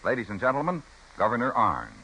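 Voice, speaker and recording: Bright, forceful American radio announcer man. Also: mid-range voice, huge pitch swings, quick pace, a little hiss or hum, rough recording. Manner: formal, emphatic. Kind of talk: 1950s scripted radio announcing. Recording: old radio broadcast recording.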